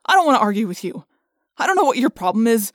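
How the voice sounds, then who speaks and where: A woman's voice: on the high side, sing-song, fast, dry recording, studio quality. Woman voicing a firm, weary teenage boy leader. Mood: weary, fed up. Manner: firm, scolding, stubborn.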